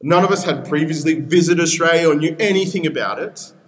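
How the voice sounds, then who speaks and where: A man's voice: on the high side, fairly quick. A young man from Peru whose first language is Spanish, preaching a sermon as pastor in an earnest way with repeated appeals.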